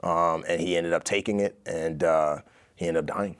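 Low-voiced man telling lively stories. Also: moderate pace, smooth. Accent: American. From Nigeria. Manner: animated storyteller, acts out voices of others. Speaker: guest, author. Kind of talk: casual interview storytelling.

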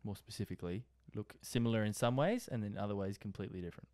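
A man's voice: on the low side, fast, mellow. A young Australian man co-hosting a podcast, chatting casually with a mate and laughing often. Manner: laid-back, laughing, slangy. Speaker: podcast co-host. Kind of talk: casual chat between mates.

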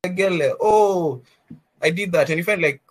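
A man's voice: high-pitched, normal speed. A man making an earnest, opinionated argument on a podcast.

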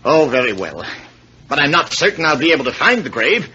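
A dull, hissy vintage radio recording carries a scheming, domineering blackmailer. His voice is on the high side and very animated, with a forceful edge. He plays it theatrically, controlling yet evasive, in 1940s mystery style. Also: fairly quick. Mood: rattled, defensive.

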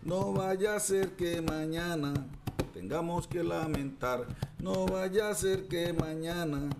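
A man's voice: a little high, slow pace, music in the background. A man playing an old village verse-maker who sings repeated folk verses to reassure his neighbours in a radio drama. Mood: reassuring, rallying. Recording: clearly noisy recording.

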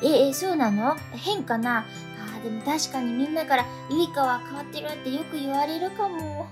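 Lady doing high girly teen student, quirky n earnest, eager storyteller, radio drama w/ faint music. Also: moderate pace, natural voice. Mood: friendly, oblivious. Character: friendly, quirky, earnest teenage schoolgirl. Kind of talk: conversational radio drama acting.

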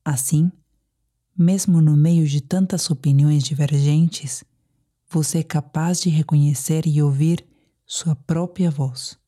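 A woman with a Brazilian accent leading a guided sleep meditation, soothing and deliberate, leaving pauses between phrases. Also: low-pitched, steady tone, dark tone.

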